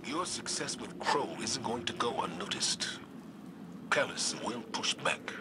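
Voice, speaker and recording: An actor playing a stern, weary, resolute male military commander in a video game, grave and hushed. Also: mid-range voice, expressive, unhurried, close to an everyday voice. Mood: grave, determined. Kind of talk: dramatic video game voice acting. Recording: very noisy game ambience behind.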